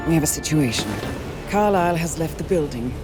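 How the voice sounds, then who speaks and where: An actress playing a composed female intelligence handler, delivering crisp mission briefings. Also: deep voice, animated, a little fast. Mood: tense. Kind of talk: video game cutscene voice acting. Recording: game cutscene with music behind.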